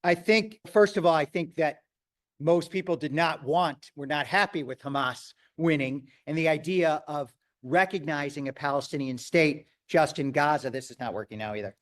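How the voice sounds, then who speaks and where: Man giving a talk, higher voice, echoey hall, heard from a distance. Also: moderate pace. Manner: thoughtful, thinking aloud with restarts. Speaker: rabbi and lecturer. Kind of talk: lecture answering audience questions. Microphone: microphone failing, speaking loud from a distance.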